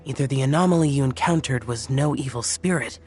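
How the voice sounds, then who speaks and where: A man's voice: mid-range voice, brisk, music in the background, lightly acted. A man voicing an aloof young immortal demon slayer in a video game, terse, formal and detached. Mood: stern, reserved. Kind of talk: video game character acting.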